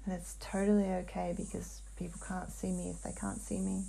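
A woman describing a trance vision in a dreamlike way as a guided hypnosis client, halting and hushed, with long pauses. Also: fairly low pitch, flat delivery, gravelly, breathy edge, poor audio.

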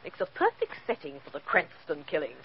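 Flippant, animated gangster's girl accomplice. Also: low-pitched, fairly quick, heavily acted. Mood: cheerfully callous. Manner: flippant, eager. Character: brisk, callous female accomplice to a crime boss. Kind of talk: 1940s radio acting. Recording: dull, noisy 1940s radio recording.

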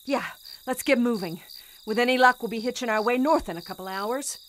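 An actress playing a determined, bossy bank teller on the run in a period suspense audio drama, urgent and taking charge. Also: female voice, on the high side, fairly quick, fairly bright tone, slight background noise, close to an everyday voice. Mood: resolute.

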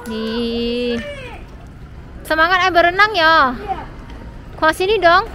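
A woman's voice: extremely high pitch, lively intonation, slow, pushed and bright. An Indonesian woman chatting casually on the go as she films her family with a handheld camera. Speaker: vlogger filming her family. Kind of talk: casual on-the-go chat. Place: outdoors at roadside stall and hotel grounds. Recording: noisy outdoor recording, people and vehicles.

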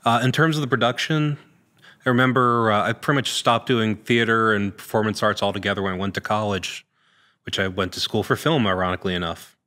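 A man's voice: on the low side, fairly even intonation, a little fast, bright, forceful tone. A man, an actor being interviewed as a podcast guest, answering casually in a thoughtful, searching way. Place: large indoor studio room. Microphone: podcast microphone on a boom arm.

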